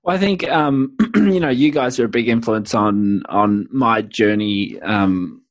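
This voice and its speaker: A man, a podcast guest, speaking in a relaxed, hesitant way. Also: medium pitch, unhurried, no room sound. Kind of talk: casual podcast chat.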